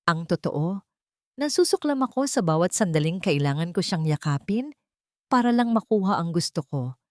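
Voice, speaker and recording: A woman's voice: on the low side, very animated, moderate pace, no echo, studio quality. Woman acting a menacing, possessive husband. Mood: cold disgust. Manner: menacing, domineering, cold. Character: cruel, possessive, jealous rich husband.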